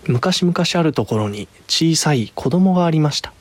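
A man narrating a scripted story as a storyteller, with a husky voice. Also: on the high side, expressive, normal speed, slight background noise.

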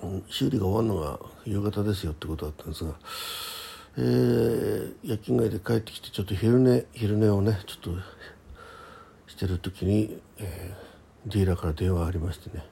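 Low-voiced man with a gravelly edge. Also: mellow. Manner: halting, thinking aloud in short polite phrases. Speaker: radio host. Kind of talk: casual solo chat about daily life.

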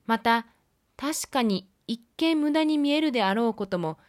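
A woman hosting a film review podcast, reading her scripted commentary in a measured, polite, explanatory way. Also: fairly high pitch, animated, no room sound, clean studio sound.

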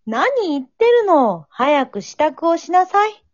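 Woman playing impatient mum, high swooping voice, sing-song chiding, amateur radio drama, dull lo-fi sound. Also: big pitch swings, takes it slowly, no room sound, heavily acted. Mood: exasperated. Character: nagging, impatient mother of a teenage girl.

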